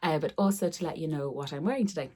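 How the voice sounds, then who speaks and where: A woman's voice: low-pitched, fast, dark tone, breathy edge. A middle-aged woman who is losing her voice, chatting casually to camera at home, often repeating words for emphasis. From Ireland. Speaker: sewing vlogger. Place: room upstairs at home.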